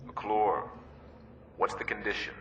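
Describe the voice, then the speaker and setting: A man playing a stern, weary Navy destroyer captain in a radio drama, clipped and deliberate in his orders. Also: lowish voice, flat delivery, fairly bright tone, muffled recording. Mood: focused, concerned.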